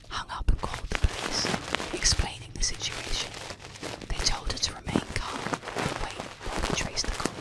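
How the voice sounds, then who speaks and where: A woman narrates scripted bedtime tales in a breathy ASMR whisper. Her delivery is hushed and unhurried, with deliberate enunciation. She sits close to the microphone, so every word is soft and intimate. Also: low-quality recording. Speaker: ASMR storyteller and host.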